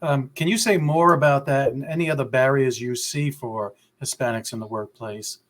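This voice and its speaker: A man in a middle pitch moderates a panel. He puts his questions to the speakers casually, sometimes tripping over the opening words. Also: fairly even intonation, moderate pace.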